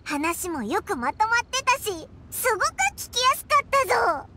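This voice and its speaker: A woman voicing a tiny, excitable, outspoken fairy companion with a blunt childlike manner in a Japanese video game. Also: very high-pitched, moderate pace, very noisy.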